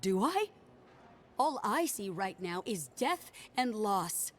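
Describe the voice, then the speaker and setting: A woman's voice: medium pitch, sing-song, takes it slowly, slight background noise. A woman acting a grieving, accusing woman in a dramatic video game scene, scornful and bitter. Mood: bitter grief. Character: grieving, bitter woman condemning an envoy over deaths.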